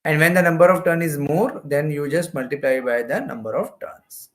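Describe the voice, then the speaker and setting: A man's voice: fairly high pitch, normal speed. A man with an Indian accent giving an explanatory exam-prep lecture as a physics teacher, going step by step and repeating phrases.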